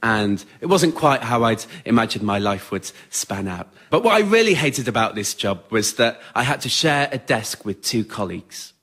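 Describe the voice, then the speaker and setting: A man's voice: medium pitch, big pitch swings, moderate pace, few pauses, fairly bright tone, breathy edge. Wry, understated young Englishman telling stories. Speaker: writer-performer of a solo spoken-word show. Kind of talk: scripted autobiographical storytelling on stage. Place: radio theatre in front of a live audience.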